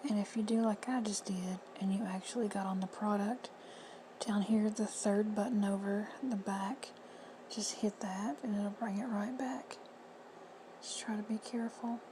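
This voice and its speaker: A woman with a hoarse, husky voice giving plain, friendly step-by-step instructions in a casual how-to. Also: medium pitch, steady tone, normal speed. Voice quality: whispery, husky. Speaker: reseller tutorial host. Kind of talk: casual screen-recorded how-to. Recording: noisy home recording.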